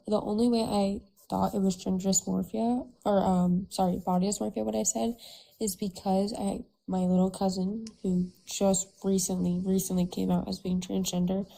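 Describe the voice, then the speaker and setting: Woman guest, gravelly, flat delivery, hesitant. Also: mid-range voice, rough recording. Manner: halting, full of fillers and restarts. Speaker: debate guest. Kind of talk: hesitant debate argument.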